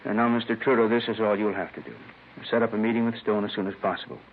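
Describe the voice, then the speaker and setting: Male police captain played by an actor, flat and authoritative, quick delivery, vintage radio crime drama. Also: fairly low pitch, lightly acted. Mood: businesslike. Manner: matter-of-fact, authoritative. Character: steady, businesslike male police captain giving orders. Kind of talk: 1950s radio drama acting. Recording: narrow, noisy old 1950s radio recording.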